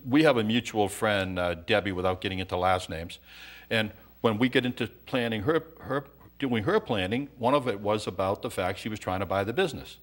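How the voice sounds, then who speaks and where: A middle-aged male accountant, a guest on an interview show, explaining things in a brisk, matter-of-fact way. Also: lowish voice.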